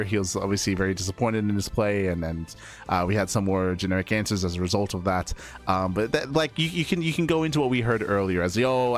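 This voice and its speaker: A man hosting a casual esports stream, rambling through recap and schedule talk with many fillers while his voice is still recovering from strain. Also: fairly low pitch. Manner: chatty, rambling, frequent fillers and restarts. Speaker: esports caster and stream host. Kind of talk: casual rebroadcast commentary.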